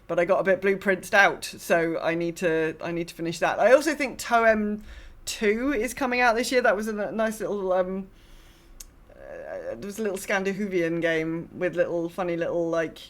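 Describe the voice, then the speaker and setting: Female podcast co-host, on the lower side, informal and meandering, drifts between thoughts. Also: animated, normal speed. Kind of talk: casual gaming podcast chat.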